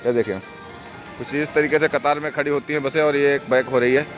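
A hoarse young man in his twenties walks through an outdoor bus station, filming himself on a phone and chatting like a tour guide. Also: mid-range voice, fairly even intonation, fairly quick. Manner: chatty tour-guide narration, pointing things out. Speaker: travel vlogger. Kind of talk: casual walking vlog. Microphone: phone camera at arm's length. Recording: dull lo-fi phone audio with music behind. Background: music playing and passing buses.

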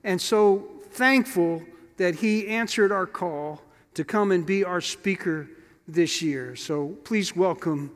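A man's voice: high voice, slow pace. An older man, a pastor, plainly introducing a guest preacher from a large church sanctuary, with a few hesitations. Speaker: host pastor introducing the speaker. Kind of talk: speaker introduction. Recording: long church reverb.

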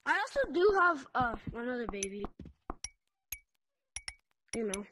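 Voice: medium pitch, very animated, a little slow, noisy background. A player chatting casually with others in a VR game over voice chat. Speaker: fellow player.

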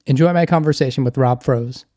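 A man's voice: mid-range voice, brisk, background noise. A man hosting a podcast, introducing and interviewing his guest. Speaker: podcast host.

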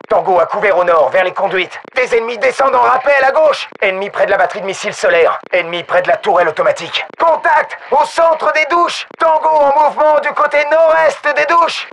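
An actor playing a tense, commanding special forces soldier in a military shooter game, giving short, urgent, clipped combat callouts. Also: male voice, very high-pitched, gravelly, fairly bright tone, slight background noise, exaggerated voice.

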